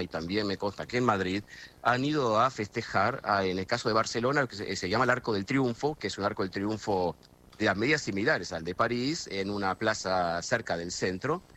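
A man's voice: on the low side, lively intonation, brisk, sharp tone. A middle-aged Argentine man from near Buenos Aires, a guest correspondent on a radio phone interview, talkative and full of hesitations and restarts. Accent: Argentine, Buenos Aires area.

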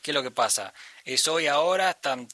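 A man's voice: a little high, animated, a little fast, bright, forceful tone, dry recording. Give me a hesitant young Argentine man talking casually, very dry and close. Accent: Argentine Spanish. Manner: hesitant, thinks aloud with many fillers and restarts. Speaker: musician guest. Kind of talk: casual interview conversation.

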